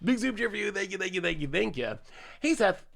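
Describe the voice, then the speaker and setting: A man's voice: high voice, sing-song, fairly quick, creaky, a bit bright. A man streaming a card game and chatting casually with his viewers, a little congested from a cold, laughing often as he plays. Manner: chatty, laughs often, thanks chat subscribers. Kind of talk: casual live-stream commentary while playing.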